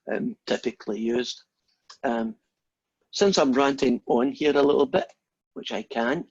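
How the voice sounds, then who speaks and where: A Scottish man gives an informal maths lecture and wanders happily off topic into long, self-deprecating stories. His voice is husky and a little rough at the edges. The recording sounds muffled and dull, without any crisp highs. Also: no room sound. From Scotland. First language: Scots. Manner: rambling, anecdotal, self-deprecating.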